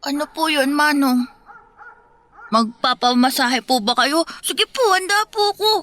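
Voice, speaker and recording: A woman's voice: high-pitched, unhurried, pushed and bright. A woman acting a timid, earnest Filipino schoolboy who speaks hesitantly and politely in a radio drama. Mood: eager. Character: timid, earnest poor schoolboy, apologetic and eager. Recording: music bed behind the voice.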